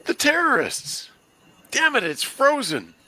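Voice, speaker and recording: A man playing an excitable teenage boy hero in a casual script read-through, with exclamatory, drawn-out lines. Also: extremely high pitch, no echo, full character voice. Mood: horrified, desperate. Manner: exclamatory, drawn-out line readings. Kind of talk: casual table-read acting.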